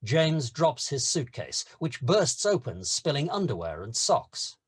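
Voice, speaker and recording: A man's voice: medium pitch, very animated, a little slow, slightly rough. A man narrating stage directions in a scripted screenplay table read.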